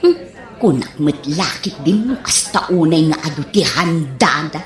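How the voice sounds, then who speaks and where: A woman's voice: low voice, lively intonation, measured pace. A woman playing a fault-finding, gossipy neighborhood mother in an Ilocano comedy radio drama, with an Ilocano accent. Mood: gossipy complaining. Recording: roomy echoey sound.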